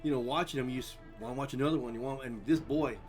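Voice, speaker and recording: A man's voice: mid-range voice, quick pace, faint music behind. A middle-aged man chatting casually as a guest, rambling and easygoing, with his voice drying out. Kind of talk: casual chat.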